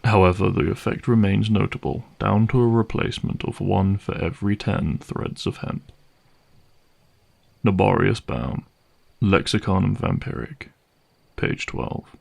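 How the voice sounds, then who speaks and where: A man playing a cynical, menacing vampire hunter in an audio roleplay, speaking deliberately and sardonically with a gravelly voice. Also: low voice, darkish tone, heavily acted. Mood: coolly matter-of-fact. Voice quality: gravelly, creaky.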